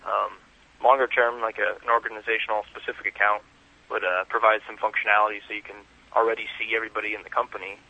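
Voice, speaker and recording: A male interview guest talks conversationally over a lo-fi phone connection. His voice is fairly low, yet very bright and forceful in tone. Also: level tone, quick pace, creaky. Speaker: interview guest, website founder. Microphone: telephone. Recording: dull lo-fi telephone call.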